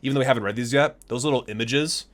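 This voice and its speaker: A man's voice: mid-range voice, racing, fairly bright tone. A man hosting an ASMR video, reading aloud from a guidebook with theatrical emphasis on titles and casual muttered asides.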